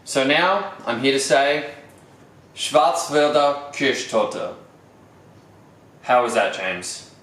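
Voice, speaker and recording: A man's voice: mid-range voice, big pitch swings, a little slow, fairly bright tone. Jokey Australian man in a roomy space. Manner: playful, wry, pun-making. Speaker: cooking show host. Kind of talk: jokey casual cooking presentation.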